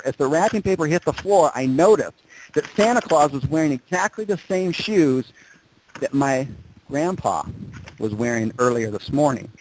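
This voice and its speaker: A man speaking as a motivational presenter, telling personal stories and driving points home with emphatic repetition and dramatic pauses. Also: mid-range voice, a little mellow, a little hiss or hum, rough recording. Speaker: motivational speaker presenting on a group conference call. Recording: conference call audio.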